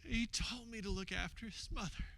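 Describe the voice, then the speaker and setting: A man acts out a grieving disciple bearing witness in a radio play. The voice is high and sharply bright, moving slowly and haltingly with heavy emotion. A faint noise sits behind it. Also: vocal fry, heavily acted. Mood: somber grief. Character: grieving disciple of Jesus recounting the crucifixion. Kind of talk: dramatic radio theater monologue.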